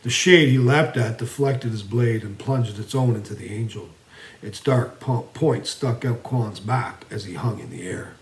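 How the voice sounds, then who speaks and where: A man's voice: lowish voice, measured pace. Dramatic male storyteller in an echoing room. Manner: theatrical, swinging from emphatic to hushed. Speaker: storyteller reading own fantasy series. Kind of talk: dramatic fantasy audiobook narration. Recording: roomy, echoing voice recording.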